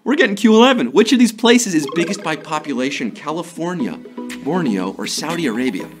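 A man in his thirties hosts a live trivia game from a studio, his voice sitting high for a man. He reads questions quickly and jokes between them, his throat a little hoarse. A faint music bed plays underneath. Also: expressive. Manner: playful, jokey, slips into quick impressions and sung bits. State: slightly hoarse from a sore throat. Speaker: trivia game show host. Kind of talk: upbeat live quiz hosting. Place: studio before a green screen.

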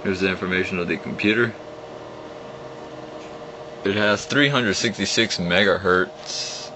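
Low-voiced young man on a lo-fi phone. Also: measured pace. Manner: rambling, restarts, explains as he clicks. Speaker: vlogger showing an old computer. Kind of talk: casual off-the-cuff screen walkthrough. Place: indoors at a home computer desk. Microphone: phone camera held at the screen. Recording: dull lo-fi phone audio with hum.

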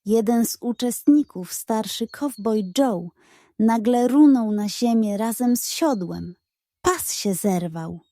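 Slow, mellow woman storyteller. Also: on the high side, animated, dry recording, clean studio sound. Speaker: children's bedtime story narrator. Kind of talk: scripted story narration, expressive read-aloud.

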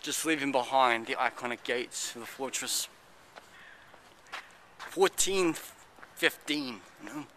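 A man's voice: medium pitch, slow, pauses often, sharp tone, a little hiss or hum. A man in his thirties chatting casually while walking through a park, filming himself at arm's length, rambling with lots of fillers. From United Kingdom. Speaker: travel vlogger. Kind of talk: casual walking tour commentary. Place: outdoors in a city park and fortress. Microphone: handheld camera at arm's length.

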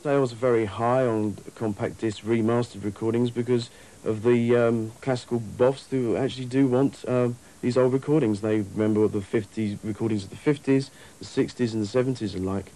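A man's voice: mid-range voice, fairly even intonation, moderate pace, mellow, rough recording. A man answering in an interview about his trade, hesitant and broken in his phrasing. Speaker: record industry interviewee.

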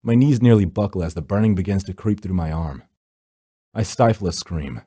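A man's voice: deep voice, lively intonation, moderate pace, dark tone, husky, no room sound, clean studio sound. An actor playing a grim, world-weary supernatural rescuer, narrating his hunt in a brooding, solemn, dramatic way. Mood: grim, intense. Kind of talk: dramatic scripted first-person narration.

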